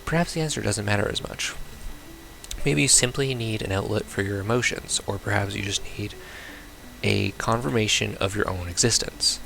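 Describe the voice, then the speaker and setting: A man's voice: fairly low pitch, moderate pace, vocal fry, fairly bright tone. A man playing through a visual novel, reading it aloud and commenting casually with sardonic, blunt reactions. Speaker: let's player. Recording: music behind the voice.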